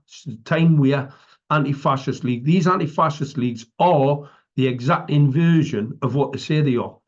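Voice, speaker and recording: A man's voice: mid-range voice, a little mellow. A man with a British accent giving an impromptu public address as an activist, emphatic and preaching, often checking in with short tags. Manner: emphatic, preaching, tags statements with "right" and "okay". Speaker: activist speaker. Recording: dull lo-fi audio.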